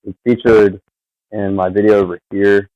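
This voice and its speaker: A man's voice: fairly low pitch, level tone, moderate pace, no echo, dull audio. A man rambling casually at his computer, drifting off and filling gaps with fillers as he talks over his own video. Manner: meandering, trails off, many fillers. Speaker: vlogger.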